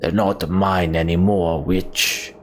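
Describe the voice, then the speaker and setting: Man putting on a slow, growling, menacing warlord. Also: low-pitched, level tone, a little hiss or hum. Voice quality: growling, gravelly. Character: fearsome growling male warlord, menacing and taunting. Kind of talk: dramatic reading of fantasy game dialogue.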